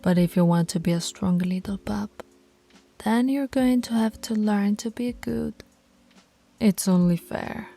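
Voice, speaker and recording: A woman playing a slow, creaky, dominant alpha werewolf woman. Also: medium pitch, dark tone, faint music behind. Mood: sultry warning. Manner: slow, commanding, teasing, often dropping to a whisper. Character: dominant teasing female alpha werewolf pack leader. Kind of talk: ASMR roleplay voice acting.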